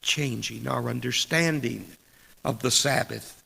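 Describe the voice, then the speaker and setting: A man reads a scripted sermon aloud as a preacher, in a deliberate, measured way, pausing between short phrases in a large church. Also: mid-range voice, creaky, sharp tone, breathy edge. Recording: long church reverb.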